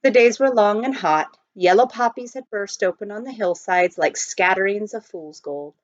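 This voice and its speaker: A woman reading a storybook aloud in an expressive narrating style. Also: medium pitch, moderate pace, dry recording. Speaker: audiobook reader, teacher. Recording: dull lo-fi close recording.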